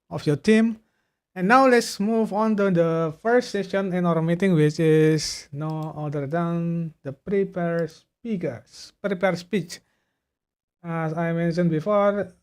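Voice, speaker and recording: A man's voice: high voice, smooth. A man chairing an online meeting, handing over the sessions in an upbeat, ceremonious way over a video call. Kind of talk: formal meeting hosting.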